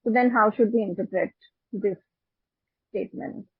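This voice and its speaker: A woman's voice: medium pitch, moderate pace, slightly rough, no echo. A woman, an analyst, putting direct, probing questions on a conference call. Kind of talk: questioning, partly quoting a document. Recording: dull, lo-fi phone conference audio.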